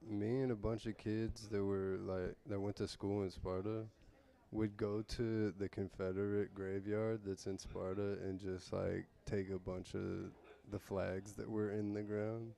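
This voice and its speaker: Man, soft-spoken, low and mellow voice, casual chat. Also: little pitch movement, unhurried, creaky. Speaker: podcast co-host. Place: radio studio. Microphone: close studio microphone.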